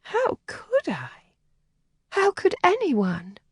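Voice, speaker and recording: A woman narrator voicing a shy, distressed young woman who speaks in a breathy, trembling, often whispered voice. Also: very high voice, wildly swooping pitch, extremely slow, dry recording, studio quality, pitched above the performer's own voice, heavily acted. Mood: whispery. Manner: pleading and anxious. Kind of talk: audiobook character voicing.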